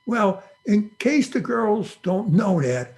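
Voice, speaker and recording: A woman's voice: mid-range voice, sing-song, slow, creaky, darkish tone, heavily acted. A woman playing a wisecracking young nephew in an old-time radio comedy, with a cheeky, smart-alecky manner.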